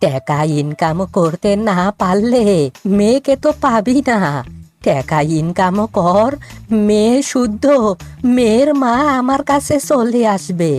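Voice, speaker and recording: A woman voicing a stern, scolding village father in a cartoon, with a drawn-out, admonishing manner. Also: medium pitch, expressive, a little mellow. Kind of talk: cartoon dialogue dubbing. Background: music.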